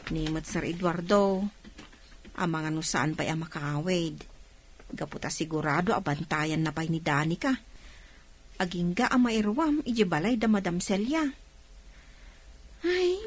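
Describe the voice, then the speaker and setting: A woman playing a chatty household woman in an audio drama. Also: medium pitch.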